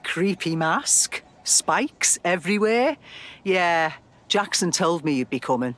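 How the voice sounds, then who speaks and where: Brisk, guarded underworld resistance contact. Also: female voice, lowish voice, lively intonation, a bit bright. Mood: dry, wary. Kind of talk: video game voice acting.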